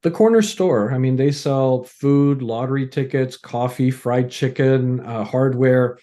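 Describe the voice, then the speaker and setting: Mellow-voiced man telling stories. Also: mid-range voice, measured pace. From Canada. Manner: storytelling, uses analogies, frequent restarts. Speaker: podcast guest, author. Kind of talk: casual interview conversation.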